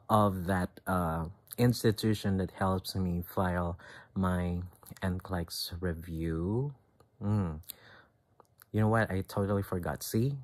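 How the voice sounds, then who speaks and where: A man in his thirties with a very low voice and a Filipino accent speaks English, his second language. He talks live and unscripted, stopping to reach for words and often starting a sentence over. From Philippines. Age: 30s. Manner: halting, many fillers and restarts. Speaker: vlogger. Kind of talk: casual talk to camera, done live.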